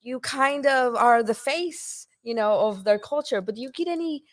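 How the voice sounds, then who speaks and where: A woman's voice: high voice, lively intonation, moderate pace, no room sound, clean studio sound. Female podcast co-host asking questions. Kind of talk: casual podcast interview.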